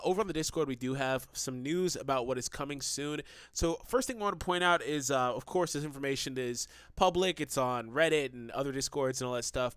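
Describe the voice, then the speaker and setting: A man rambles eagerly through gaming news, his fairly high voice a little stuffy from a cold. He talks in bursts with fillers and restarts. The recording is dry and close, with no room sound. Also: a bit bright, clean tone, dry recording. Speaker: gaming channel host. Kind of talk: casual gaming news commentary.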